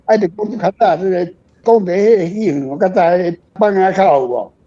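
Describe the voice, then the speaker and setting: Husky older Taiwanese man, higher-pitched. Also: moderate pace, warm tone. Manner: rambling, frank, self-deprecating. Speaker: author, guest on a radio show. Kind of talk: casual reflective book talk. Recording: dull lo-fi recording.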